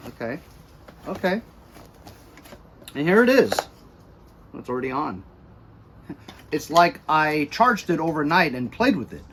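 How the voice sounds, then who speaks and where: Higher-pitched American man, chatty product review. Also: very animated, unhurried, pauses often, a bit bright, slight background noise. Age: middle-aged. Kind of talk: casual unboxing review. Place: cluttered garage or workshop.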